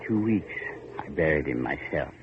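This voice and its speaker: An actor playing a grim, bitter factory overseer on an island in a vintage radio horror drama, speaking in a dour, ominous, rustic way. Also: male voice, extremely low pitch, expressive, moderate pace. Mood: somber, foreboding. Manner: dour, ominous, archaic rustic speech with "aye" and "ain't". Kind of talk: 1930s-40s radio horror drama acting. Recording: muffled, noisy old radio recording.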